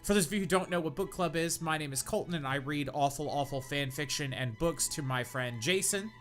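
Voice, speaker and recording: A man's voice: fairly high pitch, fairly quick, a bit bright, soft background music. A young man co-hosting a comedy podcast, reading bad fan fiction aloud with mock-dramatic flair.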